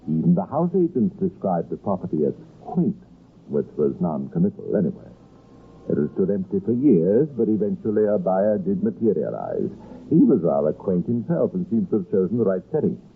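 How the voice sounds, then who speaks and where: Low-voiced British radio crime narrator. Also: male voice, very animated, a little fast, gravelly, heavily acted. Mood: wry, ominous. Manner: wry, theatrical storytelling. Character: wry, ominous male narrator of a true-crime tale. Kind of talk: old-time radio crime drama narration. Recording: old radio recording, narrow band, noisy.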